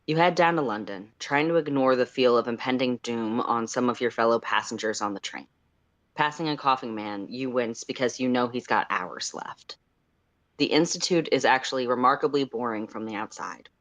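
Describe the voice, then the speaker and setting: A man's voice: medium pitch, lively intonation, normal speed, a bit bright, dry recording, lo-fi sound. A man with a mid-range voice co-hosts a story stream. His delivery is casual, whether chatting or narrating, and the sound is dry and close. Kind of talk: casual chat and fan fiction read aloud.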